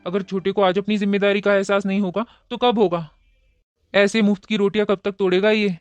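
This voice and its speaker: A man's voice: sits high, level tone, a little fast, no room sound, lo-fi sound, close to an everyday voice. A man playing an unsympathetic, reproving elder brother in a cartoon moral story. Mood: stern. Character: stern, unsympathetic older brother of the family.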